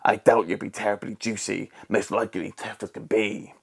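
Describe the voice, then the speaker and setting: A man doing a gruff greedy rat robber. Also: on the low side, steady tone, normal speed. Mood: gruff boasting. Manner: gruff, scornful, chanting boast.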